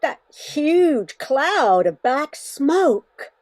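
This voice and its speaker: A woman's voice: sits high, sing-song, extremely slow, a little mellow, exaggerated voice. A woman playing a sassy fifteen-year-old Cajun granddaughter in an amateur radio play. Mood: cheeky, eager.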